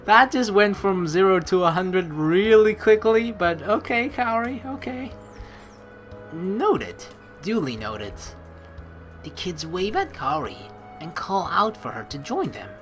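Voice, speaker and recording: A woman hosting a let's play, reading game narration and dialogue aloud with playful, expressive commentary. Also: medium pitch. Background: game music.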